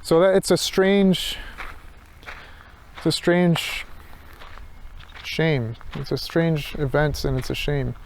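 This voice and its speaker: A man's voice: fairly high pitch, slow, pauses often, a little hiss or hum. A man thinking aloud in an unscripted reflective monologue, hesitant and searching, often circling back over his phrases. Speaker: philosophy vlogger.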